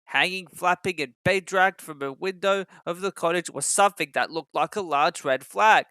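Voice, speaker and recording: A man's voice: sits high, normal speed, sharp tone, clear, resonant tone, no echo. A man reading a children's story as a scripted narration.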